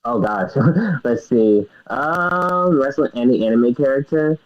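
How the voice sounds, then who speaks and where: A young man answers interview questions in a hesitant, giggly way, often pausing on fillers before he settles on an answer. His pitch is middling, and the recording sounds dull and lo-fi. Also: expressive. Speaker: guest wrestler. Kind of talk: casual interview.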